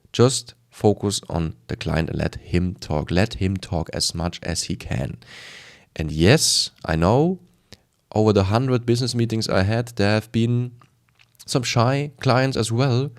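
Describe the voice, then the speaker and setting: A man with a strong German accent giving informal sales advice to camera, emphatic and repetitive, often acting out short bits of dialogue. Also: on the low side. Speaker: sales coach presenting a video.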